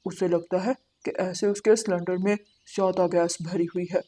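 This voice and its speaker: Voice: mid-range voice, steady tone, moderate pace, warm tone, close to an everyday voice. A performer voicing a gossipy male pigeon who mocks his neighbours in a moral-story cartoon. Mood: mocking. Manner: gossiping, mocking.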